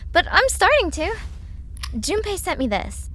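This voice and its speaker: A woman's voice: very high voice, big pitch swings, unhurried, bright, forceful tone, heavily acted. A woman acting a skeptical, insistent young twin sister in an audio drama, speaking conversationally over some background ambience.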